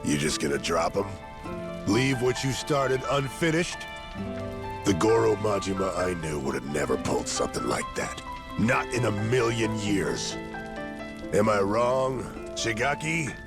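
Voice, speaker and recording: A man playing a blunt, hot-tempered veteran Yakuza in a video game, gravelly and confrontational. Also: on the low side, animated, unhurried, over music. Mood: scolding. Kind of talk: video game cutscene acting.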